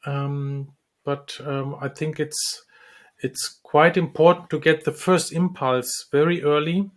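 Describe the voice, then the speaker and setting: A man from the Republic, a dentist and lecturer, answering interview questions with many pauses, ums and restarts. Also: on the high side, fairly even intonation. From Czech Republic. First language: Czech.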